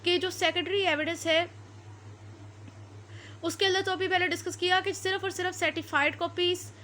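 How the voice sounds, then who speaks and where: A woman's voice: very high voice, fairly even intonation, moderate pace, bright, forceful tone, a little hiss or hum. A woman with a Pakistani accent giving an explanatory law lecture, repeating and rephrasing her points as she goes. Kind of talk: explanatory lecture mixing Urdu and English.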